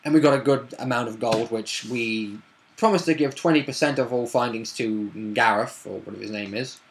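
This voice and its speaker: Casual male storyteller, a tabletop player retelling a session off the cuff, halting and self-correcting. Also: medium pitch, a little hiss or hum. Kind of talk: casual unscripted game recap.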